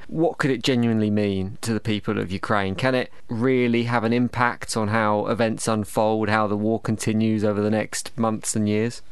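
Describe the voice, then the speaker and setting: A man's voice: on the low side, smooth, dry recording. A British man hosting a podcast, putting questions and addressing listeners in a conversational presenting style. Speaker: podcast host, interviewer.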